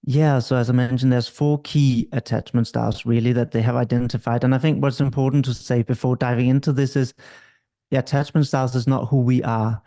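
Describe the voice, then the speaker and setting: A middle-aged man from Denmark, a couples therapist explaining at length in an interview, calm and measured. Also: mid-range voice.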